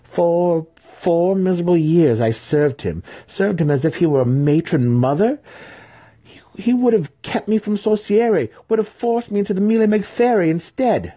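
Man acting a spiteful young dark elf, pitched up. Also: animated, unhurried, hardly any pauses, no room sound. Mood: bitter spite. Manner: spiteful, spitting, clenched. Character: smug, bitter young male dark elf schemer.

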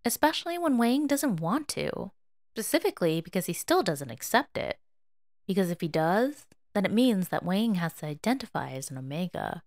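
Female narrator for audio fiction; lively melodic read, very dry recording. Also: mid-range voice, moderate pace, dry recording. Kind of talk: dramatic story narration.

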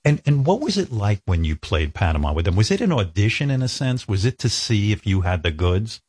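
A man's voice: fairly low pitch, big pitch swings, brisk, darkish tone, breathy edge, dry recording, clean studio sound. An older man hosting an interview, asking rambling, self-deprecating questions. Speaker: talk show host.

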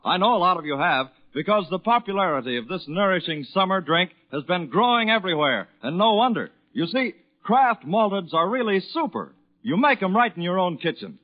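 A man's voice: high-pitched, very animated, a little fast. A man with an American accent announcing on 1940s radio, reading a scripted advert with polished, emphatic salesmanship. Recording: dull lo-fi 1940s radio broadcast recording.

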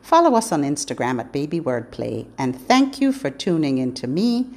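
A middle-aged or older woman hosting a children's podcast, giving scripted, expressive, instructional narration aimed at parents and babies. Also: low-pitched, normal speed.

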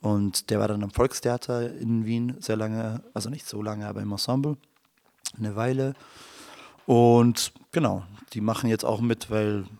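A man in his thirties talks as a studio podcast guest, explaining at length with many fillers and restarts. His voice is low and flat in pitch. The recording is dry and close. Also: unhurried, dry recording. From Germany. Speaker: guest, dramaturg and human rights lecturer. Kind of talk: casual interview chat.

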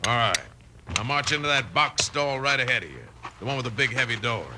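A man's voice: mid-range voice, lively intonation, a little fast, close to an everyday voice. An actor playing a cocky outlaw gunman on the run, barking boastful threats in a western radio serial. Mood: menacing. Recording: noisy old radio recording.

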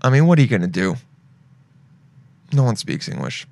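A man's voice: mid-range voice, very animated, moderate pace, a little mellow, dry recording. A young man hosting a casual podcast, rambling and joking as he browses shopping sites and reads reviews aloud. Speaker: podcast host.

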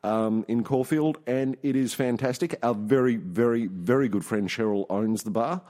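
Aussie bloke co-hosting a podcast, middle-aged, jokes around and rambles. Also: male voice, medium pitch, normal speed, mellow. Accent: Australian. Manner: jokey, rambling, repeats himself. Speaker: podcast co-host. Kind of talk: casual chat.

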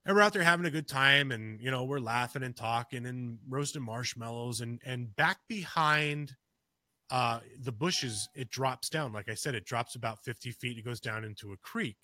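A man with a bright, forceful voice recounts a story on a podcast in a loose, rambling way, full of restarts and "you know." The sound is close and dry. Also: medium pitch, normal speed, no echo. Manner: gets louder and more urgent as the story builds. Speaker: podcast co-host. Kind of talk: casual storytelling of a personal encounter.

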